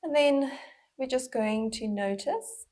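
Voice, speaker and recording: A woman leading a guided body scan as a mindful movement instructor, speaking gently and unhurriedly with pauses, in a breathy, slightly husky voice. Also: high voice.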